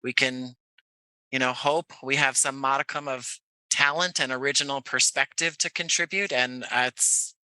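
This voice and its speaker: American man, very bright tone, dry close sound, careful earnest phrasing, podcast guest. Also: mid-range voice, fairly even intonation, moderate pace, clear, resonant tone, no room sound, rough recording. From Jersey City, New Jersey, United States. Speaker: interview guest, playwright and actor. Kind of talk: conversational podcast interview.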